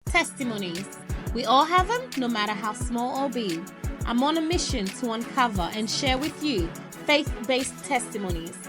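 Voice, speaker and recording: Woman doing a peppy show intro, high bouncy voice, loud music behind. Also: very animated, normal speed. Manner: upbeat, promotional delivery. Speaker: podcast host. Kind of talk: scripted podcast intro.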